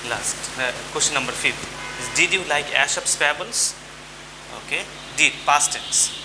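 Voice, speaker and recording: A man teaching a grammar lesson to students in a deliberate way, repeating and spelling out words. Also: on the high side, music in the background. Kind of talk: classroom lesson addressed to students. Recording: very noisy, rain-like hiss throughout.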